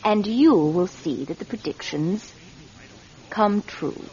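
An actress in a radio drama playing a bossy, cold-blooded, scheming sister. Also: female voice, mid-range voice, expressive, slow, warm tone. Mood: coolly scheming. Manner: domineering, conniving, insistent. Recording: dull vintage radio recording, faint music.

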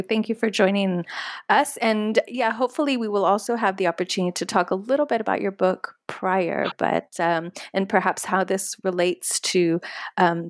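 A woman's voice: mid-range voice, no echo, studio quality. A woman hosting a book podcast, polite and formal as she introduces and encourages her guest. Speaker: podcast host. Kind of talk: interview hosting.

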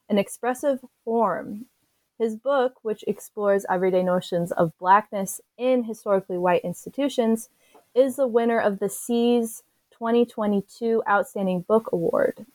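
A woman's voice: mid-range voice, darkish tone, no echo. A woman hosting an interview, reading a careful formal introduction of her guest with occasional fillers. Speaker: interview host.

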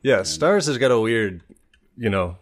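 A man's voice: medium pitch, animated, a little slow. A man hosting a podcast in casual comedic chat, with a rambling, joking way of talking. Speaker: podcast host.

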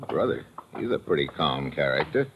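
An actor playing a tough, wry American undercover agent in a 1950s radio drama, clipped and slangy. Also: male voice, a bass voice, sing-song, moderate pace, slight background noise. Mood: brisk, wry. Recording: muffled old radio recording.

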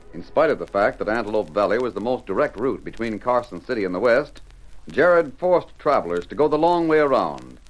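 Vintage serial announcer: man, medium pitch, very animated, declamatory western narration. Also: low-quality recording. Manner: dramatic, declamatory. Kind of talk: old-time radio serial narration.

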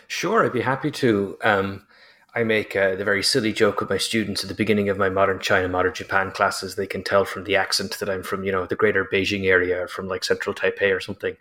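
Bright-voiced Irish professor from Limerick. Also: male voice, low-pitched, level tone, fast. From Ireland. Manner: chatty, rambling with fillers. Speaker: history professor, guest author. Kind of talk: relaxed interview answers.

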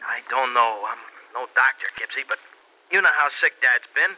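An actor playing an earnest, excitable young husband and devoted son-in-law in an old radio serial. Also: male voice, medium pitch, normal speed, low-quality recording. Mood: urgent, worried. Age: young adult. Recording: vintage radio recording.

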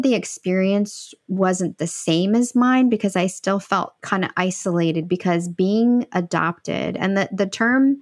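A young woman with a lowish voice talks through her thoughts in long, winding stretches, often circling back to restart a phrase. The recording is dry and close, with no room around her. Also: no room sound. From United States. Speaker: guest, empowerment coach. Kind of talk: casual podcast conversation.

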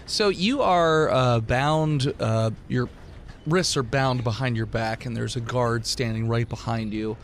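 A man's voice: mid-range voice, measured pace. Man narrating a tabletop game, noisy recording. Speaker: game master and podcast host. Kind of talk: improvised tabletop game narration with casual banter. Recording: clear background noise.

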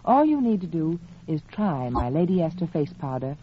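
Female 1940s radio spokeswoman, persuasive scripted sell, animated inflection, dulled vintage recording. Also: low-pitched, moderate pace. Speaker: advertising spokeswoman. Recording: muffled, faintly noisy vintage radio recording.

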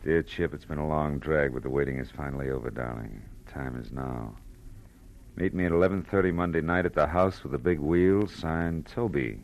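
An actor playing a tough but compassionate private detective, terse and world-weary, in 1940s radio noir. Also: male voice, rumbling low, moderate pace, muffled recording, a little hiss or hum. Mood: probing, calm. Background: dramatic music.

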